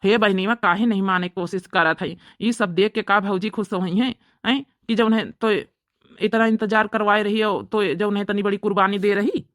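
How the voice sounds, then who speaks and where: A woman's voice: mid-range voice, steady tone, moderate pace, a bit bright, dry recording, studio quality, close to an everyday voice. A woman with an Awadhi accent voicing an anxious, pleading young widowed father and elder brother in a cartoon story. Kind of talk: amateur cartoon story dialogue.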